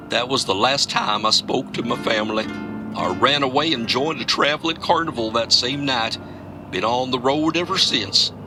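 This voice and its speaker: Actor as a folksy, world-weary trucker. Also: male voice, medium pitch, close to an everyday voice. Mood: somber. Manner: rustic, profane, drawling storyteller. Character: folksy Kentucky trucker, world-weary man haunted by his past. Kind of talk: audio drama dialogue.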